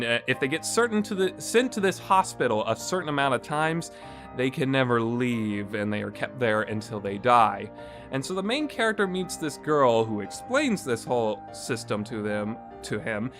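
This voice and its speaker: A man's voice: medium pitch, a bit bright. A man hosting a casual review podcast, talking in a rambling way with frequent fillers. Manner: rambling, many "uh" and "you know" fillers. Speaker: podcast host reviewing games.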